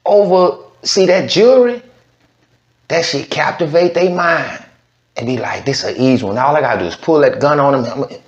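Male reaction host, slangy and unhurried, swooping pitch, dull echoey mic. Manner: drawling, incredulous, slangy. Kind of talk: casual reaction commentary. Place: virtual news studio set. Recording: lo-fi, roomy sound.

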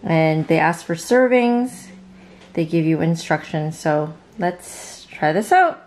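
Woman, low-ish voice, casual kitchen demo, chatty, relaxed. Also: slow pace. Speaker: cooking channel host. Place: home kitchen counter.

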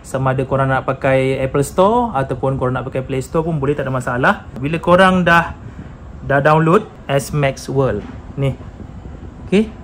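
A man's voice: mid-range voice, moderate pace, fairly bright tone. A man with a Malaysian accent giving a casual, hands-on product demonstration, mixing in English tech terms. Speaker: motorcycle gear reviewer. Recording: clearly noisy room recording.

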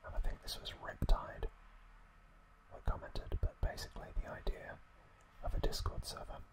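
A man whispering gently and unhurriedly to his listeners as an ASMR host. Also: low-quality recording. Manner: gentle, unhurried, softly spoken direct address to listeners.

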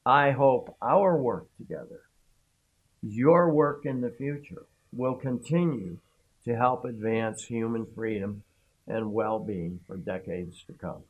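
An older man speaks slowly and deliberately at a commencement. He pauses and restarts his phrases as he works through his thoughts. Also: mid-range voice, husky, narrow, tinny audio. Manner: slow, halting, with self-corrections. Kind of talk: formal speech. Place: room before a backdrop.

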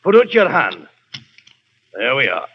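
A man's voice: mid-range voice, huge pitch swings, a little slow, slight background noise. Curt henchman on tinny old radio. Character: obedient henchman posing as a policeman. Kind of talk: 1940s radio drama acting. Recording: tinny, reverberant vintage radio recording.

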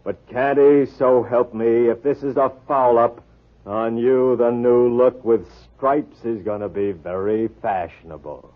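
An actor playing a gruff, grudging police detective in a 1940s radio drama. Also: male voice, medium pitch, a little slow, lightly acted. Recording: tinny 1940s radio recording.

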